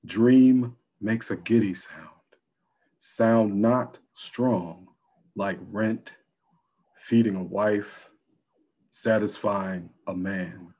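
A middle-aged man speaking and reading poetry unhurriedly, pausing between phrases, on a video call from home. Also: on the low side, a little mellow, breathy edge. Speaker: poet. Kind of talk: casual introduction and poetry reading. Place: room at home. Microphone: computer mic on a video call.

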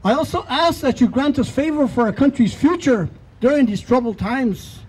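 A man leading a solemn outdoor prayer in a slow, measured way, speaking into a handheld mic. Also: extremely high pitch, lively intonation, a little mellow, slightly rough, poor audio. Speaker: prayer leader. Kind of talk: spoken prayer. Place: outdoors in a park.

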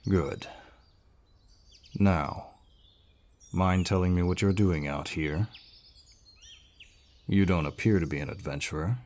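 An actor playing a large, gruff but kindly barbarian warrior in an audio roleplay, measured and reassuring, with a deep voice. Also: male voice, darkish tone. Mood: calm, questioning. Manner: measured, reassuring, with pauses and sighs. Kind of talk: scripted audio roleplay, addressing the listener. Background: birdsong forest sound effects.

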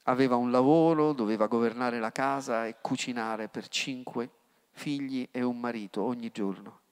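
A middle-aged man, preaching a sermon into a handheld mic, swinging from loud outbursts to hushed words. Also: mid-range voice, fairly even intonation, moderate pace, clear, resonant tone. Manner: impassioned preaching with sudden rises and hushed drops. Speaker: pastor. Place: church hall. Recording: long church reverb.

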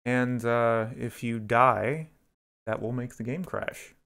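A man's voice: mid-range voice, fairly even intonation, slow pace, gravelly, a bit bright, smooth. A man giving casual, rambling video game commentary, with some swearing.

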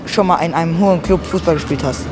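A man's voice: on the high side, fast talker, over music. A young man answering a street interviewer in a city square, slangy and hesitant with many fillers. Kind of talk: casual street chat.

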